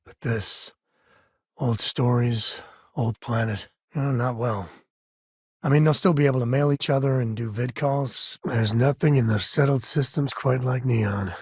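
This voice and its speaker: An actor playing a rugged, easygoing male space ranger in a video game, with a husky voice and a wry, folksy, conversational manner. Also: medium pitch, unhurried, no room sound, clean studio sound. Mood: musing. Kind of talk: expressive game companion dialogue.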